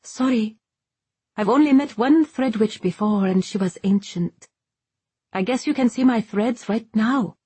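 A cheerful young sailor girl from a storybook, acted by a woman reader. Her voice sits high and a little husky. She talks in a breezy, curious way. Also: a little slow, dark tone, no echo. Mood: curious, amused.

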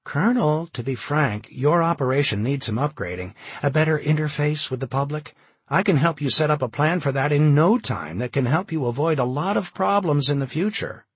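A man's voice: fairly high pitch, lively intonation, moderate pace, breathy edge, dry recording, voice pushed up. A man voicing a hungry refugee woman who pleads with a soldier and nears hysteria. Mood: persuasive. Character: desperate, hungry, sobbing young woman refugee.

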